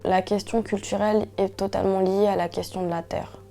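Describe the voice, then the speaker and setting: Young woman from french guiana, earnest interview talk, warm voice, flat steady delivery, soft music behind. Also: medium pitch, creaky. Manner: earnest, measured, with frequent restarts and hesitations. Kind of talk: interview testimony.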